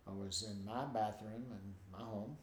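A man's voice: lowish voice, moderate pace, slight background noise. Older nasal-voiced man telling stories. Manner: animated, acts out quoted voices. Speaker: guest. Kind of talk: storytelling in a conversation. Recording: roomy with faint ambience.

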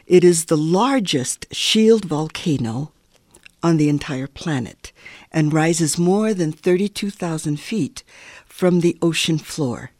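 Older female interview guest from Hawaii. Measured, thoughtful, unhurried phrasing, dry sound. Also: deep voice, lively intonation, a little mellow, no echo. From Hawaii, United States. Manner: measured, deliberate, pausing between short phrases. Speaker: interview guest, cultural anthropologist and elder.

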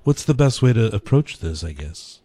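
Mellow low-voiced male radio host. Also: very animated, moderate pace, no room sound. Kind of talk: comedic radio banter.

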